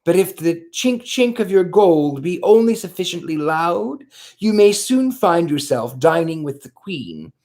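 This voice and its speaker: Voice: mid-range voice, big pitch swings, a little slow, darkish tone, voice pushed up. A reader voicing a worldly, cynical aristocratic prince in a novel read aloud, speaking with composed scorn. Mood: scornful. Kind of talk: audiobook character voice.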